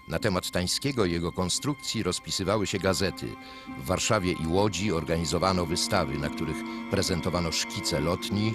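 A man narrating a history documentary in a measured, scripted way. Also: low-pitched. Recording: voice-over over loud music.

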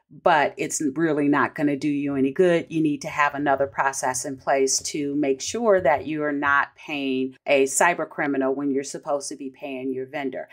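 A middle-aged American woman hosting a solo podcast, explaining things in an instructive, conversational way. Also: low voice. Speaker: podcast host.

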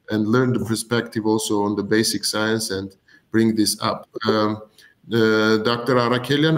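A man hosts a live online event in a lowish voice. He speaks haltingly, often searching for the next word, as he introduces speakers over a video call. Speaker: host. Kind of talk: hosting a live telethon, introducing guests.

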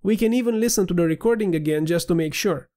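A man with a slightly nasal voice, giving a steady, clear scripted voiceover for a software tutorial. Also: high-pitched, fast talker. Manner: clear, steady instructional delivery. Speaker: tutorial narrator.

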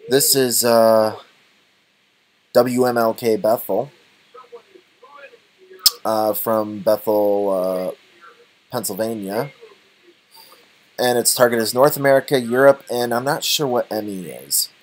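A man giving an unscripted software tutorial, thinking aloud in a halting, tentative, low-key way. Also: low-quality recording. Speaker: tutorial presenter.

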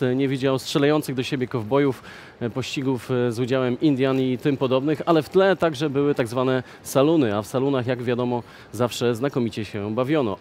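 A young man hosting a magazine interview, speaking in a lively presenter manner with filler pauses, recorded in a shopping mall on a headset mic. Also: mid-range voice, a little hiss or hum. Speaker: host.

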